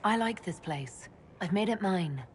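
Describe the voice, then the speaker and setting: An actress voicing an elven leader in a video game, speaking plainly and directly. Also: female voice, mid-range voice, animated, measured pace, slight background noise, lightly acted. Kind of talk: video game cutscene dialogue.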